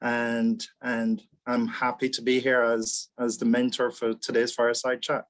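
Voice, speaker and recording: Man, forties, mid pitch, reflective, repeats words, video call. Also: monotone, measured pace. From Barbados. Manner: thoughtful, repeats words while thinking. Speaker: mentor, actuary executive. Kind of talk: casual panel talk.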